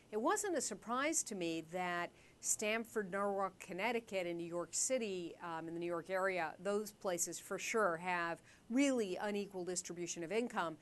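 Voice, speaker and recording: A woman hosting an interview show, asking conversational, curious questions while losing her voice. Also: mid-range voice. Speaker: show host.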